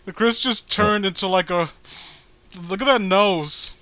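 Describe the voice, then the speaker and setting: A man's voice: high-pitched, unhurried, sharp tone, no echo. A man giving casual, rambling and profane commentary as he co-hosts a read-along. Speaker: co-host of a comic read-along video.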